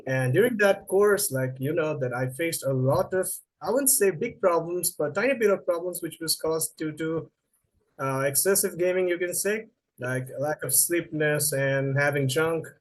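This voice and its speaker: A man's voice: a little high, moderate pace, poor audio. A young man with a Nepali accent, answering interview questions as a researcher, a little hesitant with fillers and restarts.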